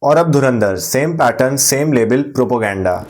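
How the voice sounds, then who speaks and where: A man's voice: medium pitch, expressive, normal speed, darkish tone. A man with an Indian accent delivering scripted opinion commentary as a video presenter, addressing viewers directly with rhetorical questions.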